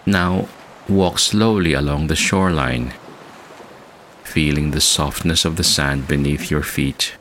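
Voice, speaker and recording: A man hosting a guided meditation, speaking in a measured, soothing way with long pauses between phrases. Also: a bass voice, fairly even intonation, fairly bright tone, slight background noise. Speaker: meditation podcast host. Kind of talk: slow guided meditation narration. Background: music bed.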